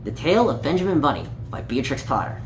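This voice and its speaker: A person reading a children's story aloud in an animated, playful way, with small asides and stumbles over words. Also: medium pitch, fast talker. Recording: echoey room with light background noise.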